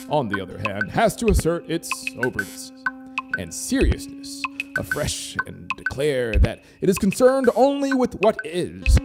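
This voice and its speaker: Theatrical character played by a man for a Southern Gothic story reading, extremely animated pitch, loud music behind. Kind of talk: dramatic literary reading.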